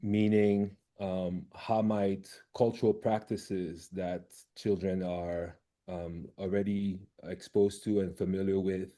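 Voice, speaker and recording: A man from Cameroon leads a video call, and his voice sits low with a dark, mellow tone. He talks in a halting way, full of "um"s and restarts, as he presents his research. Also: level tone. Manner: halting, many fillers and restarts.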